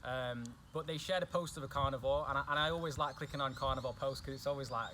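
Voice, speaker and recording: A man's voice: medium pitch, fast, sharp tone, a little hiss or hum. A young man with an English accent, chatting casually as a guest and often repeating himself. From England. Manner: chatty, repeats himself, says "do you know what I mean". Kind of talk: casual chat.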